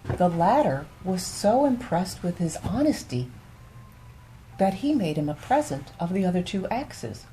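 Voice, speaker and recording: A woman telling bedtime fables as a storyteller, in an unhurried, soothing and expressive way. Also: fairly low pitch, a little hiss or hum, low-quality recording.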